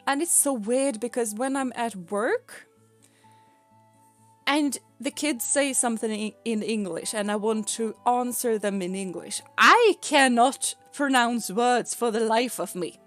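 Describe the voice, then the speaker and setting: A woman's voice: on the high side, measured pace, a bit bright, soft background music. A woman with a Swedish accent, a native Swedish speaker, chatting casually on a stream, rambling and giggling as she talks. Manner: rambling and giggly, often laughing mid-sentence. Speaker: VTuber streamer.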